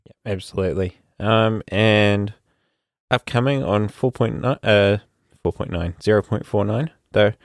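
A man co-hosting a casual tech podcast, relaxed and agreeable, adding chuckling asides. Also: on the low side, measured pace, creaky, no echo, clean studio sound. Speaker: podcast co-host.

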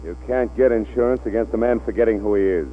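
A man's voice: fairly low pitch, fast talker, close to an everyday voice. An actor playing an exasperated, fatherly male film studio boss in a 1940s radio drama. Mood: stern, disappointed. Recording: old 1940s radio, tinny with hum.

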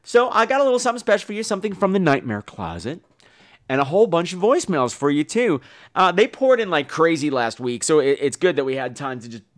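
A man talks casually as a solo podcast host. His voice sits a little high and swings up and down a lot. He rambles in a campy, bawdy, self-mocking manner. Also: big pitch swings, fast talker. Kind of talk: casual rambling solo podcast talk about shows and films.

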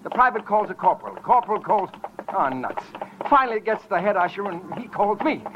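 A muffled, hissy vintage radio recording of a flustered male theater manager. His voice sits high, and he talks in wordy, excitable bursts with pompous boasts. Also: a little fast. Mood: anxious. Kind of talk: old-time radio drama acting.

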